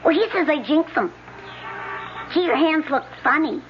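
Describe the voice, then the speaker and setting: High-pitched, nosy little boy. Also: expressive, normal speed. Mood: eager, curious. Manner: blunt, inquisitive. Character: chatty, curious, pestering little boy at the park. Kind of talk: 1970s radio drama acting. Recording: muffled old radio broadcast, faint music.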